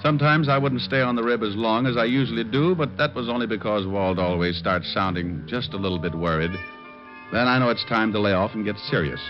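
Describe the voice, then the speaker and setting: A man's voice: fairly low pitch, brisk, natural voice. An actor playing a cocky, breezy male private detective in a 1940s radio drama, with glib, wry banter and narration. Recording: muffled old radio broadcast recording with music.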